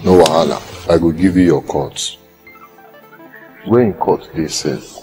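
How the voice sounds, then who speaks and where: Low-voiced, domineering Nigerian gang boss. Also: male voice, a little slow, a little mellow, soft background music. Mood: scornful, taunting. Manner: taunting, lecturing, rhetorical questions. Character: domineering, sardonic male gang boss, self-styled master. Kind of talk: film drama dialogue in Nigerian Pidgin.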